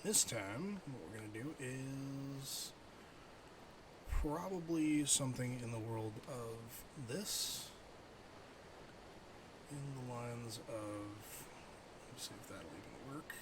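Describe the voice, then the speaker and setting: A middle-aged man streams a game in a casual, conversational way, with a mid-pitched voice. He thinks out loud as he plays and chats with viewers. There is faint noise and game ambience behind him. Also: slow, long pauses. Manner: thinks aloud, muttering while playing and chatting with viewers. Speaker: livestreamer.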